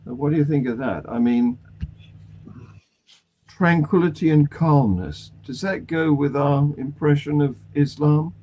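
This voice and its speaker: An older man presenting news items to a panel as host over a video call, halting and deliberate, with pauses. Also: fairly high pitch, warm tone, rough recording. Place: small room at home, indoors. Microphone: video call microphone. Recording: video call with light humming noise.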